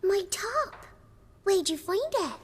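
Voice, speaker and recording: A woman's voice: very high voice, big pitch swings, takes it slowly, long reverb tail, heavily acted. A woman voicing an earnest little village girl in a video game, chirpy and eager.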